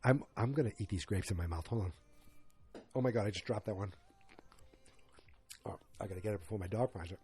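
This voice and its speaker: A man's voice: fairly low pitch, brisk, pauses often, warm tone, husky. A man hosting a casual solo movie-review podcast, rambling and sarcastic, often interrupting himself. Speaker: podcast host.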